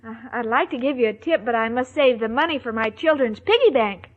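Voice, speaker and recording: A woman playing a naive, sweetly excitable young bride-to-be who takes everything literally, in a 1940s radio sitcom. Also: on the high side, fairly quick. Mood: sweet, excited. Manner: earnest, guileless, literal-minded. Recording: dull 1940s radio recording, studio audience.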